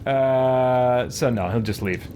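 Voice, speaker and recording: A man's voice: medium pitch, slow pace. A man hosting a video game let's play, thinking aloud in casual, conversational commentary. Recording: faint background noise.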